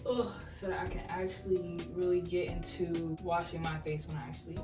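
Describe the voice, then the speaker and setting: Raspy-voiced young woman chatting at home. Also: on the low side, a little fast, reverberant, far from the mic, over music. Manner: chatty, self-mocking, relaxed. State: raspy from allergies. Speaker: beauty vlogger. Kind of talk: casual skincare vlog chat. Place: home, at night.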